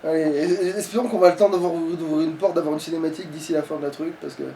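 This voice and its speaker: Casting: high-pitched male gamer, excitable grumbler who curses, recorded away from the mic. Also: quick pace. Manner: excitable, grumbling, swears often. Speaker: let's play player. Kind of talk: casual gaming commentary. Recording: distant mic, background noise.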